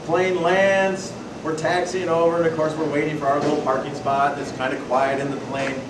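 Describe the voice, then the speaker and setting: A man's voice: a little high, level tone, moderate pace. Folksy man telling stories to a hall. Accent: US Pacific Northwest. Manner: loud folksy storyteller, asks the room questions. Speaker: presenter at a membership workshop. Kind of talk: lively storytelling talk to an audience. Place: meeting hall with a live audience. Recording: distant, echoey room recording with loud noise.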